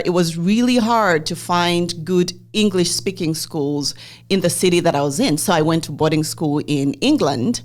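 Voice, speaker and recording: A woman in her forties, originally from Zambia, hosts a podcast and talks through her own life story. Her voice sits a little low and her delivery is open and reflective. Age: 40s. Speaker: podcast host. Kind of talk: personal interview conversation.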